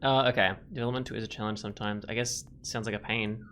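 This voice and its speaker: A man's voice: on the low side, steady tone, quick pace, background noise. A man giving casual let's play commentary, thinking aloud in a rambling, musing way. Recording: faint game music behind.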